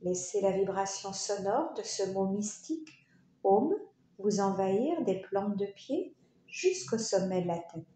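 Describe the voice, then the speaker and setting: A woman leading a guided yoga relaxation, speaking in short, soothing, unhurried phrases with pauses. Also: medium pitch, expressive, mellow. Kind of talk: guided meditation narration. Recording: roomy echo, faint background noise.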